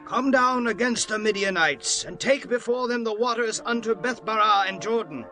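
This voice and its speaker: An actor playing a stern, commanding war leader in a dramatized scripture reading, with declamatory, archaic speech. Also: male voice, high voice, normal speed, sharp tone, faint music behind.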